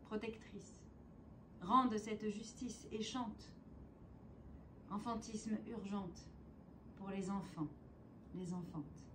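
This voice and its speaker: A woman performing a spoken-word poem in a theatrical, declamatory way, with deliberate phrasing, dramatic pauses and emphasis. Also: mid-range voice, steady tone, background noise. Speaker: poet and stage performer.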